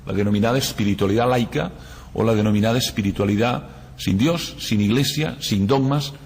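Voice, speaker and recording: A man's voice: fairly low pitch, brisk, darkish tone. A man whose first language is Catalan gives a university lecture, reflective and explaining through analogies and rhetorical questions. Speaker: guest lecturer. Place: lecture hall. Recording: echoey lecture hall, husky voice.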